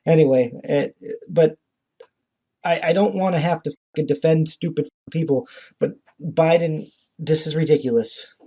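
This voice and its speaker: A man's voice: on the high side, moderate pace, dark tone. A man hosting a podcast, delivering an informal, profane political rant in a blunt, indignant and exasperated way. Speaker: podcast host.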